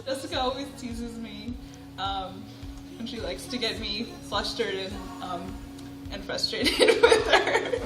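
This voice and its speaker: A woman's voice: a little high, animated, slow pace. A young woman talking casually and in a friendly way about a friend, with a giggly manner. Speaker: student. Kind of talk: casual testimonial. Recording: echoey room with music underneath.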